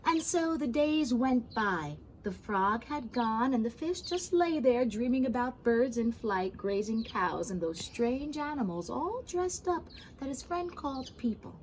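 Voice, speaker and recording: A woman reading a children's story aloud expressively, pausing for gentle asides to young listeners. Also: on the high side, very animated, slight background noise, low-quality recording. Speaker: storybook reader.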